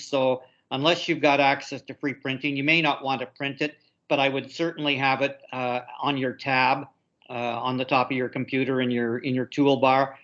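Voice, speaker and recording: Through slightly dull conference-call audio, a man of medium pitch gives students practical instructions. He speaks informally as an instructor, going step by step and haltingly repeating words as he goes. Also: a bit bright. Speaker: university instructor.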